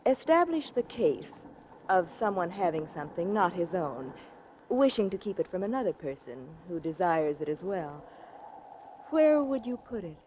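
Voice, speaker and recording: A woman's voice: fairly low pitch, big pitch swings, moderate pace, a little mellow. An actress playing a cool, scheming mystery woman, poised, formal and coy, in 1940s radio drama style. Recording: muffled, noisy 1940s radio recording.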